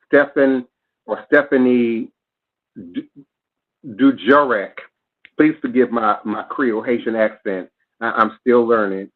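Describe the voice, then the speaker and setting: A man hosting a show, reading news reports aloud with care, who stops to spell out names and correct his own pronunciation. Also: mid-range voice. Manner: careful, spells out names letter by letter. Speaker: host. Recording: phone-like narrow band.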